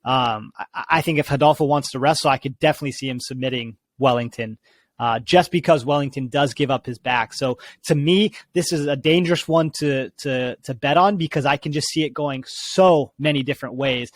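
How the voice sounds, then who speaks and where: A man's voice: mid-range voice, fairly quick, no echo. A man co-hosting a casual fight betting chat, hesitant and thinking aloud with many fillers. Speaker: podcast co-host.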